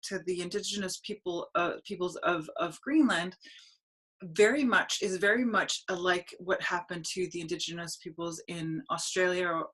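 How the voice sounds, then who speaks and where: Woman, bright forceful voice. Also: fairly low pitch, breathy edge. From Denmark. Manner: earnest, halting, with many restarts. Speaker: podcast host. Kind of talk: spiritual conversation podcast. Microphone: video call webcam.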